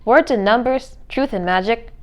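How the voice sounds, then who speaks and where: A woman's voice: on the high side, normal speed. A woman reading a children's storybook aloud as narrator. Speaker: read-aloud reader.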